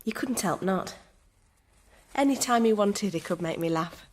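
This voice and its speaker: Female actor for radio play: candid Victorian village lass, mid-pitched, chatty and unaffected, faint background noise. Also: animated, a little slow, close to an everyday voice. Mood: fond. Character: plain-spoken, friendly Victorian village young woman. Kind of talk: radio drama dialogue.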